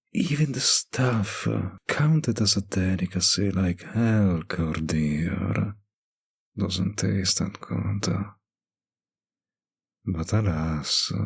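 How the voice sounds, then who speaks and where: A man voicing a suave, world-weary, teasing vampire bartender in an intimate audio roleplay, husky and languid, with hesitant pauses. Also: low voice, full character voice. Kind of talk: intimate scripted roleplay addressed to the listener.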